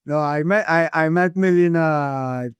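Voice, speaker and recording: A man hosting a casual podcast chat, talking in a halting way with many restarts. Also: a little high, smooth, no room sound. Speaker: podcast host.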